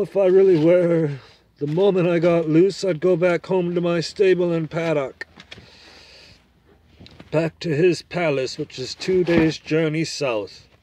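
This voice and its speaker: A man voicing a proud, scornful male talking horse in a storybook reading, loud and lecturing. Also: on the high side, pitched above the performer's own voice. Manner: haughty, lecturing, loud and emphatic.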